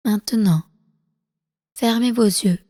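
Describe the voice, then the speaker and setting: A woman guides a meditation in a soft, mellow voice at a medium pitch. Her phrasing is calm, slow and deliberate, soothing the listener as an instructor would. The voice carries a long reverb tail. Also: clean studio sound. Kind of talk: guided prenatal meditation, yoga nidra.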